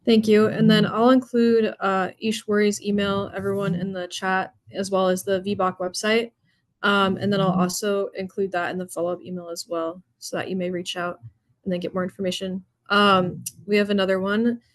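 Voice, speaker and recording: A woman moderating a webinar, reading out the audience's questions in a brisk and businesslike way over a video call mic. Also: mid-range voice, level tone, no echo.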